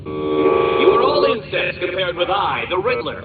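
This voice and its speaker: A smug petulant genius game villain, highish voice that swings all over, muffled echoey audio. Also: male voice, wildly swooping pitch, unhurried, loud music behind the voice, full character voice. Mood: smug, condescending. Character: arrogant, petulant male genius villain obsessed with riddles. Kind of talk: video game villain voice acting.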